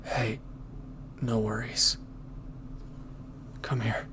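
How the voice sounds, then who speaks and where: A man playing a reformed villain turned devoted lover in an intimate audio drama, speaking softly, gently and hesitantly with a breathy voice. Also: medium pitch, flat delivery, drawn-out words, background noise. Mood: tender, reassuring.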